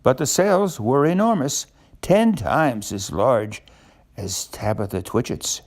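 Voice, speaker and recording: Older male storyteller, creaky voice, lively intonation, children's book with simple explanatory asides. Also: mid-range voice, a little slow.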